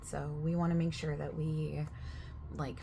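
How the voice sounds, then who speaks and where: A woman talks quietly and at length, overexplaining like a gym clerk in an ASMR roleplay. Her voice sits low with plenty of vocal fry, and she keeps drifting from soft speech into whispers. A faint hiss runs underneath. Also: level tone, a little slow. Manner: soft-spoken, slipping into whispers mid-sentence; chatty, overexplaining. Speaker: gym staff member in a roleplay.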